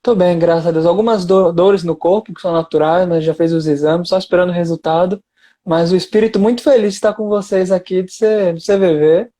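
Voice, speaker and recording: A man's voice: sits high, fairly even intonation, fairly quick, dark tone. A young man with an Amazonas accent, a courteous guest on a live video call who often speaks of faith. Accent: Amazonas Portuguese. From Amazonas, northern Brazil.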